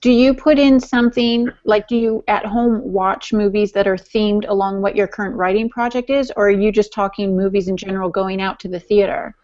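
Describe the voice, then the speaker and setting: A woman's voice: medium pitch, fairly even intonation, a little fast, few pauses, darkish tone. A woman co-hosting a podcast, chatting casually in a playful, teasing way. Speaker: podcast co-host. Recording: slightly dull audio.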